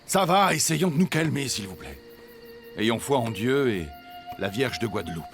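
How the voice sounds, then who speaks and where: An actor playing an earnest, devout peacemaker in a dubbed melodrama, urging calm. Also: male voice, mid-range voice, normal speed, faint music behind. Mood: placating.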